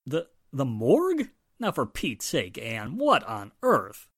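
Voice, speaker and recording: Man playing a blustery inspector, wildly swinging pitch. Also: wildly swooping pitch, slow pace, a bit bright, slight background noise, full character voice. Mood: exasperated. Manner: spluttering, emphatic, incredulous. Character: blustery, exasperated male police inspector. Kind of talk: 1940s-style radio drama acting.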